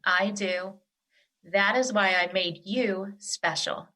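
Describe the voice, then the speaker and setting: A woman playing a wise, nurturing earth spirit in an audio drama, speaking in a formal, measured, reassuring way. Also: mid-range voice, steady tone, bright, forceful tone. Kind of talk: theatrical audio drama acting.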